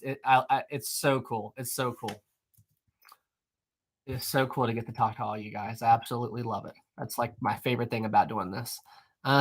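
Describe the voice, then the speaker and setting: Rambling, animated male live-streamer. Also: mid-range voice. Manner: rambling, animated, laughs often, many fillers and restarts. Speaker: history YouTuber hosting a live stream. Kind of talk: casual live-stream chat reading super chats.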